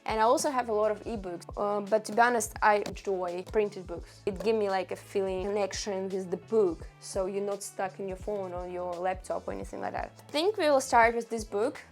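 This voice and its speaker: A woman who is not a native English speaker, chatting casually to camera about books in halting, friendly phrasing with restarts. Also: medium pitch, faint music behind. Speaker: book vlogger.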